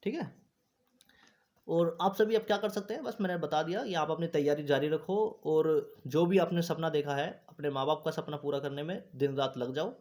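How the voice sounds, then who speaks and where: A man's voice: on the high side, moderate pace, a little mellow. A man giving an informal, instructive explainer to exam candidates, repeating points and often checking that listeners follow. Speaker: host of an exam news channel.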